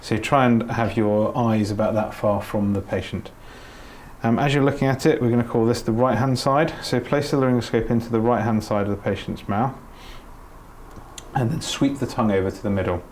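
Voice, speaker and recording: A man's voice: medium pitch, steady tone, a little fast, a little mellow, slight background noise. A middle-aged man, a doctor, giving spoken practical instruction in an informal, explanatory manner. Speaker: doctor giving a training demonstration.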